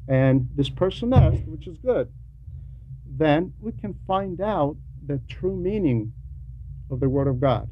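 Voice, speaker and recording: A man teaches a homily to a class at a very unhurried pace, breaking his thoughts into short phrases and repeating them for weight. His manner is didactic, in a somewhat high voice. The recording is muffled and low fidelity, with faint noise behind him. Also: mellow. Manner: deliberate, with pauses between short phrases and repetition for emphasis; didactic, poses rhetorical questions. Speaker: religious teacher reading a translated homily to a class.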